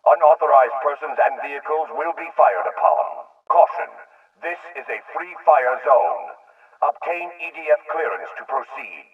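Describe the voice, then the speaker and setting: An actor playing a cold, authoritarian military security announcer giving formal commands over a filtered public-address speaker in a video game. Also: male voice, medium pitch. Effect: loudspeaker radio filter. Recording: tinny band-limited PA speaker, distant.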